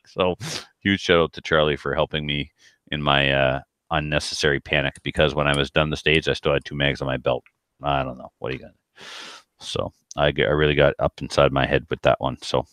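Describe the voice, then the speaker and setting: Very deep-voiced man chatting casually. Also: a bit bright, no room sound, studio quality. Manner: self-deprecating. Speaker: podcast co-host and competitive shooter. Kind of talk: casual chat, telling anecdotes.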